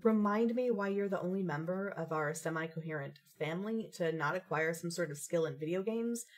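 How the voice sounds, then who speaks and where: A woman's voice: lowish voice, fairly quick, natural voice. A woman playing a shrewd, self-assured young woman with a dry, wry delivery in an audio drama.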